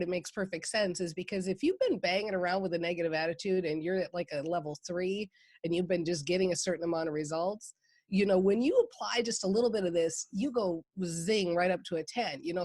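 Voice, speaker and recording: A woman's voice: fairly low pitch, no room sound. A woman from Minnesota with a Minnesota accent, a guest on a podcast interview, talking with enthusiasm and laughing often. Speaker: guest, mindset coach.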